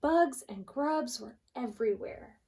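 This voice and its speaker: Expressive woman reading a storybook to children. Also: a little high, a little slow. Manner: expressive, explains things to children. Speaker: preschool teacher reading aloud. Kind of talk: storybook read-aloud for young children.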